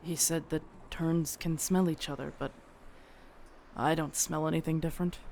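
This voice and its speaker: An actress playing a troubled, newly turned vampire police detective in an audio drama, guarded and dry, with a hesitant delivery. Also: female voice, low voice, fairly even intonation, normal speed, creaky, a little mellow, slight background noise. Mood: uneasy, guarded. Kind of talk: scripted audio drama acting.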